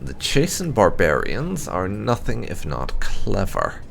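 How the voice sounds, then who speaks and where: A man narrating game lore notes aloud in a measured, storyteller-like way. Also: deep voice, wildly swooping pitch, gravelly, fairly bright tone, slight background noise. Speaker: gaming video host.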